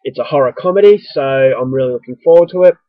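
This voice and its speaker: A man's voice: medium pitch, fast talker, no echo, highs cut off. An Australian man with a stuffy, coming-down-with-the-flu voice, chatting casually to his viewers in a rambling, self-mocking vlog. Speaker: vlogger. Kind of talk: casual vlog talking to camera.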